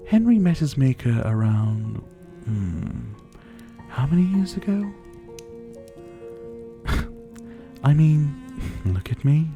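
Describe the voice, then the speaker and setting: A man's voice: mid-range voice, big pitch swings, dark tone, soft background music. A man playing a menacing, teasing vampire captor in an intimate ASMR roleplay, drawling and mockingly polite. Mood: wry, amused. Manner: slow, drawling, mockingly polite, with breathy chuckles. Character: menacing, teasing male vampire captor, silver-haired, about seventy.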